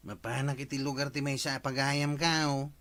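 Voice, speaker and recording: A man's voice: medium pitch, flat delivery, moderate pace, fairly bright tone, natural voice. An actor with an Ilocano accent playing a carefree, evasive village watchman in a radio comedy drama. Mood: casual, evasive.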